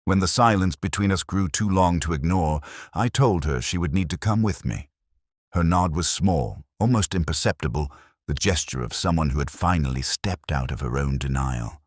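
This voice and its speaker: Casting: male actor for weary detective narrator, extremely low voice, solemn and measured yet with lively rises and falls, mystery audio drama. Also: dry recording, studio quality. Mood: somber, reflective. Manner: measured, solemn, literary delivery. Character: world-weary, reflective male English police detective narrator. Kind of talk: scripted first-person dramatic narration.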